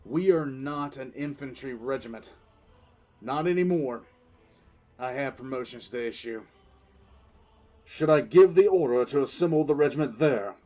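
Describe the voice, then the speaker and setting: A man playing a weary, resolute military commissar who recounts his war in deliberate, emphatic dramatic narration. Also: mid-range voice, warm tone, natural voice. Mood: fierce resolve. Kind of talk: dramatic first-person audiodrama narration. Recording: music bed under the voice.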